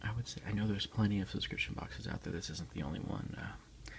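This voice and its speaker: A man speaking as an ASMR host, soft-spoken and unhurried, in a casual style. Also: on the low side, fairly even intonation, vocal fry, a little hiss or hum. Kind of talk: whispered ASMR unboxing, reading aloud and chatting.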